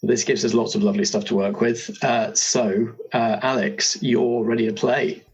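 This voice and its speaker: A man's voice: mid-range voice, little pitch movement, moderate pace, darkish tone, husky. A man hosting a casual interview chat at a roleplaying game, asking questions. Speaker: host, compère of character creation session. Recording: slightly dull, lo-fi sound.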